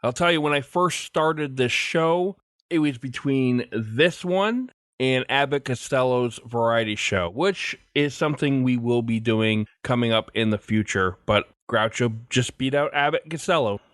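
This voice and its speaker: A man's voice: mid-range voice, unhurried, fairly bright tone, no echo, clean studio sound. A man hosting a podcast, talking directly to listeners as he goes through messages and show announcements. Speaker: podcast host.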